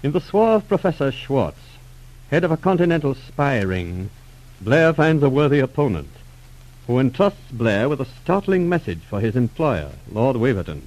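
A man reads scripted narration as a forties radio announcer. His delivery is formal and dramatic, with a very animated rise and fall in pitch. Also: moderate pace, low-quality recording.

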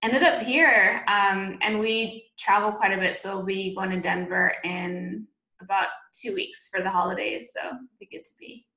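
A woman from Canada, a guest on a video call, talking in a chatty, energetic way with long running explanations. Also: medium pitch, normal speed. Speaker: podcast guest, keto blogger and biochemistry student. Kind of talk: casual interview answers. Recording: roomy echo.

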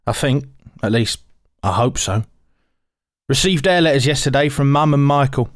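A man's voice: mid-range voice, normal speed, dry recording, studio quality, close to an everyday voice. A man with a British accent playing a terse, hopeful wartime sergeant major, reading his diary in short phrases.

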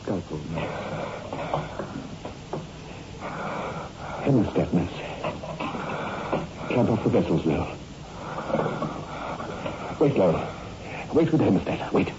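Tormented surgeon, swinging pitch, muffled old radio. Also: male voice, big pitch swings, a little slow, pauses often, noisy background. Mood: tense. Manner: agitated, brooding, melodramatic. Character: tormented male surgeon obsessed with death at his hands. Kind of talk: 1960s radio horror drama acting.

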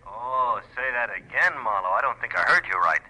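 A gruff police lieutenant, very bright and forceful through a telephone filter. He is curt and offhand, in the manner of a cop in a vintage radio crime show. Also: medium pitch, lively intonation, normal speed, low-quality recording. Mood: brusque. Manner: curt, informal. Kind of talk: old-time radio crime drama acting.

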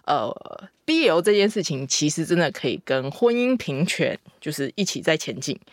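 Woman, lively explaining guest. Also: sits low, normal speed, dry recording. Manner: explanatory, lots of fillers and agreeing. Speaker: publishing editor guest. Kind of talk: casual podcast chat. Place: studio. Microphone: studio mic.

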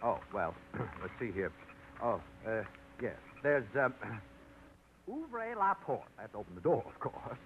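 A man's voice: mid-range voice, wildly swooping pitch, unhurried, a little hiss or hum. An actor in a 1950s radio play, playing an earnest, helpful man with a hesitant, pedantic way of talking. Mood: eager, fussy. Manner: hesitant, throat-clearing, pedantic. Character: earnest, fussy, helpful man reading phrasebook French. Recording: thin phone-like old radio recording.

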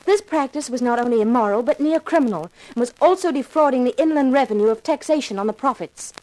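An actress playing a composed, businesslike undercover federal agent in a 1950s radio crime drama, speaking formally and precisely. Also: female voice, sits high, fast talker, dark tone, natural voice, low-quality recording.

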